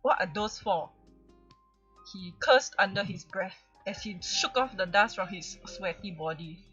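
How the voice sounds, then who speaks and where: A woman's voice: mid-range voice, animated, unhurried, fairly bright tone, slightly rough, muffled recording, faint music behind. A woman with a Singaporean accent playfully reading fan fiction on a livestream, often breaking into giggles. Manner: giggly, often breaking into laughter mid-sentence. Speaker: livestream host.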